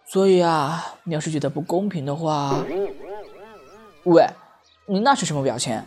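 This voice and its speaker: A man's voice: a little high, normal speed, darkish tone, faint music behind, close to an everyday voice. A man playing a guileless, blunt, socially oblivious teenage boy artist in an amateur audio drama. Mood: innocent, complaining.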